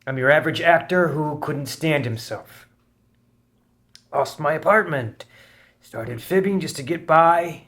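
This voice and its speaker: An actor playing a frail, ashamed out-of-work actor, who speaks haltingly in a table read. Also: male voice, on the high side, fairly bright tone. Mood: weary. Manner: halting, hesitant.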